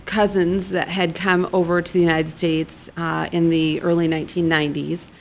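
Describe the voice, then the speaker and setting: Earnest low-voiced woman guest. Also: little pitch movement, creaky, a little hiss or hum. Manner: earnest, halting, many fillers. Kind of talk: interview answers.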